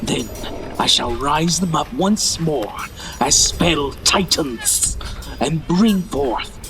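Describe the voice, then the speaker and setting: A man's voice: fairly high pitch, lively intonation, slow pace, a bit bright, faint music behind. A man playing a scheming villain lord in an audio drama, commanding and theatrical. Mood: menacing, savouring. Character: scheming male villain lord plotting annihilation.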